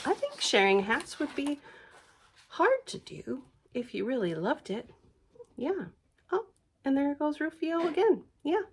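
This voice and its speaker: A woman reading a picture book aloud for a library storytime, expressive, with questioning asides to young listeners. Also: high voice, measured pace, long pauses. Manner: expressive, questioning, sing-song emphasis.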